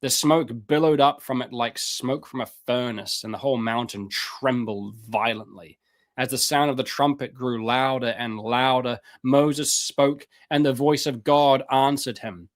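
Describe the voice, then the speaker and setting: A man on a live stream reading Bible passages aloud and commenting on them, with casual asides and his voice going hoarse. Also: unhurried. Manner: reads scripture aloud then comments, rises in pitch when fervent; casual asides, repeats words, clears throat. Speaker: streamer teaching on scripture.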